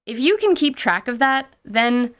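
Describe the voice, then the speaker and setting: A woman's voice: on the high side, very animated, unhurried, fairly bright tone. A woman hosting a scripted, playful commentary on tabletop gaming as a game master, with theatrical emphasis and mock-dramatic asides. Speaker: podcast host and game master.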